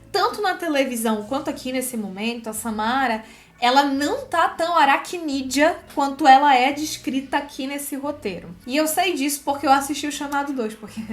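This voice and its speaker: A Brazilian woman hosting a screenwriting lesson, chatty and expressive, moving between casual explanation and reading from a script. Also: sits high. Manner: expressive and chatty, frequent check-in tags. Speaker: screenwriting teacher and video host. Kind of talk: casual explanatory commentary with script read-aloud.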